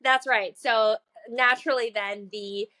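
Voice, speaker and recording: A woman in her thirties chats as a podcast guest, earnest and upbeat. She laughs easily and fills her pauses with ums and uhs as she talks. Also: a little high, steady tone. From China. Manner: warm, earnest, laughs often, many fillers. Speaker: guest, children's book author. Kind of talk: casual interview chat.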